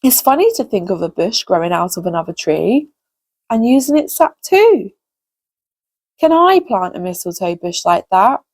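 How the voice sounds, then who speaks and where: A woman's voice: mid-range voice, very animated, normal speed, darkish tone, dry recording, pitched below the performer's own voice. A woman voicing a curious, eager little boy pixie in a children's storybook. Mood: amazed. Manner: inquisitive, eager.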